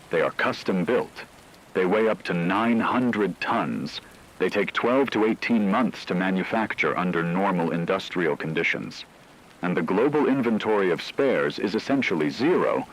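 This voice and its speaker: Man acting as grave disaster expert, low voice, measured formal delivery, scripted radio drama, faint hiss. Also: a bit bright, full character voice, rough recording. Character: grave male expert briefing on a global disaster.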